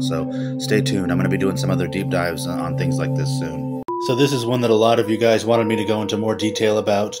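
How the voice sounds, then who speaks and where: A man hosting paranormal videos in a casual, matter-of-fact, conversational explainer style. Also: on the low side. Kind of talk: casual explainer commentary to camera. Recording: music playing behind the voice. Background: loud background music.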